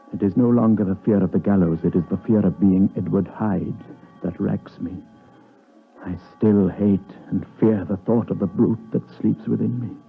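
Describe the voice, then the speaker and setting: A British man playing a tormented, guilt-ridden gentleman doctor in an impassioned, theatrical 1950s radio drama. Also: low-pitched, vocal fry. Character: tormented, guilt-ridden gentleman doctor fighting his evil other self. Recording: muffled vintage 1950s radio broadcast, music behind.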